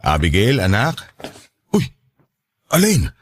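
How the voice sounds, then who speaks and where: A man playing a middle-aged father, conciliatory and quietly serious, in a Filipino radio drama, with a measured manner. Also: fairly low pitch, wildly swooping pitch, breathy edge, no room sound, clean studio sound, exaggerated voice. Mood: surprised.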